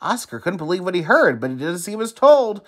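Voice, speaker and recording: A man reading a children's story aloud in an expressive, emphatic teacher's manner. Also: high-pitched, fairly quick.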